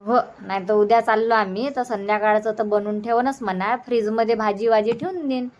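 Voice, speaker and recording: Chatty village mother for cartoon comedy, female performer: high voice, Varhadi Marathi accent, bossy but easygoing, rambling delivery. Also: steady tone, a little fast, a little mellow, natural voice. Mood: chatty, planning. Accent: Varhadi Marathi (Vidarbha). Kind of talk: homemade cartoon comedy dub.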